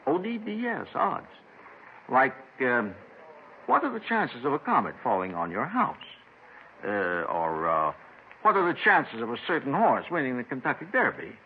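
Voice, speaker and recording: Eccentric grumbler, swooping voice, old radio. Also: male voice, medium pitch, big pitch swings, moderate pace. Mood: rambling, admiring. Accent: American. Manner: querulous, folksy, rambling. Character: rambling eccentric grumbling male robbery suspect. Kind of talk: 1950s radio drama acting. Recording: tinny, noisy 1950s radio recording.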